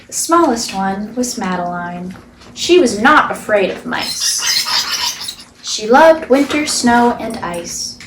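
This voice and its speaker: A woman's voice: fairly high pitch, animated, slow, roomy sound, recorded from a distance, heavy background noise. A woman storyteller hosting a read-aloud show, reading a picture book expressively for children.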